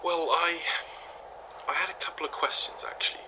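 A man's voice: on the high side, steady tone, moderate pace, in a whisper, lightly acted, rough recording. An actor playing a curious male professor, formal and hesitant. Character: curious male professor recording research tapes.

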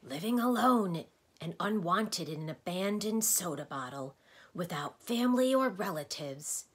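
A woman's voice: on the low side, animated, a little slow, breathy edge, voice pushed up. A woman voicing a blustering, easily exasperated male doctor in a storybook, lecturing and quizzing emphatically. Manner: emphatic, questioning, declamatory.

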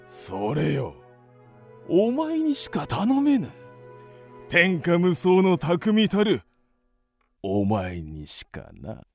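A man performs an imperious, scheming king for a radio play. He is pompous and deliberate, slightly high for a man, with big swings of pitch and music behind. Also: huge pitch swings, dry recording, full character voice. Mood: commanding, flattering. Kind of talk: radio drama acting.

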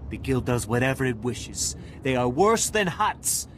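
An actor playing a distraught, indignant alien merchant with formal diction in a video game. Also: male voice, mid-range voice, sing-song, unhurried, a bit bright, a little hiss or hum. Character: distraught alien merchant, bitter and pleading, devoted mate. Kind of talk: dramatic video game voice acting.

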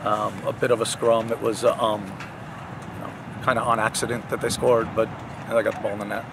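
A man's voice: on the low side, little pitch movement, fairly quick, noisy background. An older man, a head soccer coach, answering post-game interview questions on a field at night, with many fillers and restarts.

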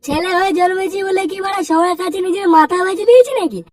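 Someone voicing a high-pitched drunken cartoon ranter, foul-mouthed. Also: fast, recorded from a distance. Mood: drunken, aggrieved. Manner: crude, swearing, declaiming. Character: drunken, foul-mouthed older-brother figure, bitterly ranting about life. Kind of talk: Bengali comedy cartoon voice acting.

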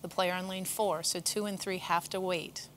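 A middle-aged woman coach with a low voice talks through bowling etiquette. She is relaxed and conversational, and she draws on her own time competing. A faint hiss sits under her voice. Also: normal speed. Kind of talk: instructional chat. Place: bowling center, seated at a table.

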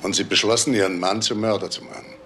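An actor playing a sober police detective in a crime radio play, putting measured, pointed questions in an echoey, noisy room. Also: male voice, lowish voice, steady tone, a bit bright. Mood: grave. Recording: echoey room with background noise.

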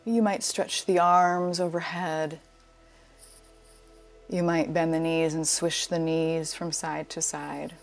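Low, slow-spoken woman guiding meditation. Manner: soothing, unhurried, gently inviting. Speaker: yoga nidra teacher leading a guided relaxation. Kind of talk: guided meditation.

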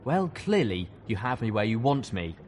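A man voicing a gruff, sardonic pegasus colonel in an audio drama, with an emphatic, challenging delivery. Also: on the low side, big pitch swings, normal speed, big-room sound, slight background noise. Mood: probing.

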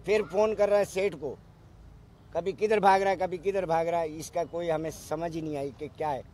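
A man's voice: fairly high pitch, steady tone, moderate pace, a little mellow, slight background noise. A middle-aged man, recounting what he saw to reporters outdoors at a cluster of microphones. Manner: urgent, recounting step by step. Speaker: neighbor and eyewitness. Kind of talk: eyewitness account to press. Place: outdoors in a crowded street. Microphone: cluster of press microphones.

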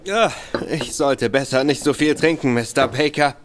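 A man's voice: mid-range voice, normal speed, natural voice. An actor playing a bitter, tipsy young nobleman who rambles spitefully in a radio play. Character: Sebastian Conroy, young nobleman, bitter, drinking, boastful.

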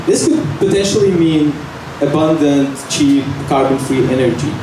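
A young man from Algeria doing a stand-up set at an open mic, delivering jokes deadpan with halting fillers and nervous laughs, on a handheld mic in a small bar. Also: on the high side. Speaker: stand-up comic. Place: small bar stage indoors. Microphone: handheld mic, filmed from far camera. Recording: echoey room from far off, noisy.

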